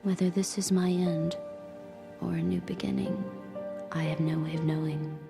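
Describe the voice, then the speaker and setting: An actress playing a captive handmaid in an audio drama, narrating in a hushed, intimate, quietly desperate way. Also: female voice, deep voice, little pitch movement, normal speed, creaky, over music. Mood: resigned. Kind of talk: dramatic first-person monologue in audio drama.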